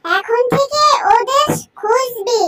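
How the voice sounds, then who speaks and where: A woman's voice: extremely high pitch, takes it slowly, noisy background. A woman voicing an anxious, scolding village girl in a children's cartoon. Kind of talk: children's cartoon story acting.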